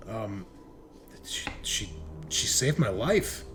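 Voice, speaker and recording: A man's voice: fairly low pitch, sing-song, over music. A man playing a shaken, dazed crewman just out of cryosleep in a tabletop game, stammering through broken recollections. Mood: halting, uneasy. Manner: halting, stammering, fragmented recollection.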